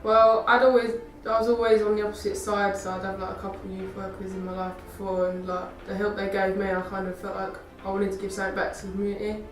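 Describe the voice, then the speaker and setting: A woman's voice: medium pitch, level tone, quick pace, few pauses. A nineteen-year-old woman, a youth work apprentice, answering interview questions casually with a lot of filler words. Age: young adult. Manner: casual, lots of "like". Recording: roomy, mic far off, faint music.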